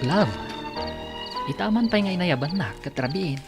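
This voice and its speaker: Grumpy scolding boyfriend, haughty, Ilocano accent, radio soap delivery. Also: male voice, sits high, expressive, a little slow, music in the background. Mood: subdued. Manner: grumbling, scolding. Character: irritable, haughty boyfriend, scornful of beggars and strangers. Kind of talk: Filipino radio drama acting.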